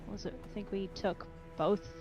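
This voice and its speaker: A woman with a very quiet, soft voice talking hesitantly in game voice chat about tanking a fight. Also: mid-range voice, flat delivery, moderate pace, lo-fi sound. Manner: hesitant, filler-heavy. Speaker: tank player in voice chat. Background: game music.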